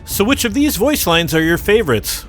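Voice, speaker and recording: A man's voice: high voice, sing-song, moderate pace. A man reading scripted video commentary as a narrator. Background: background music.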